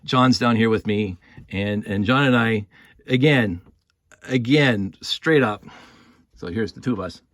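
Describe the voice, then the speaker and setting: A man's voice: fairly low pitch, measured pace, long pauses, a bit bright, no room sound, studio quality. A man telling a story casually and off the cuff, rambling and repeating his words as he goes. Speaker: storyteller and video host. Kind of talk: casual improvised storytelling with toy props.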